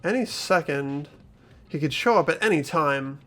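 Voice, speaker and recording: Earnest teenage protagonist, male actor: uneasy, highish voice, lively inflection, music bed underneath. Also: normal speed. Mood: tense narrating. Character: earnest, anxious everyman high school boy. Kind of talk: fan-dubbed visual novel acting and narration.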